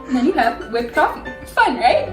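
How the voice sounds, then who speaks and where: Woman, high voice, how-to demonstration, echoey distant mic, music behind. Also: very animated, moderate pace.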